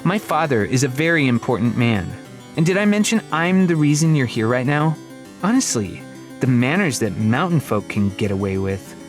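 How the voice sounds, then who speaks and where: A man's voice: medium pitch, expressive, normal speed, faint music behind, natural voice. A man voicing a haughty, chatty young girl librarian from a storybook, prim and easily flustered. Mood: haughty, offended. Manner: prim, indignant, talkative. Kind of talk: storybook character acting.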